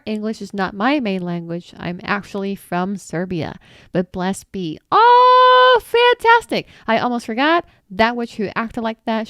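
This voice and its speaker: A woman's voice: mid-range voice, fairly bright tone, smooth, no room sound, clean studio sound. A woman hosting her own channel, reading viewer comments aloud and reacting with laughs, exclamations and mild swearing. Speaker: channel host answering viewer questions.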